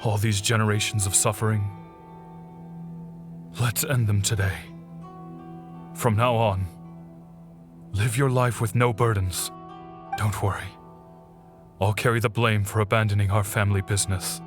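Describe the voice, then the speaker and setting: A man's voice: lowish voice, fairly even intonation, music in the background, natural voice. Actor as a solemn, remorseful clan father. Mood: resigned, tender. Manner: solemn, measured, resigned. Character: grave, remorseful father, head of a fallen swordsmith clan. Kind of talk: dramatic video game dialogue.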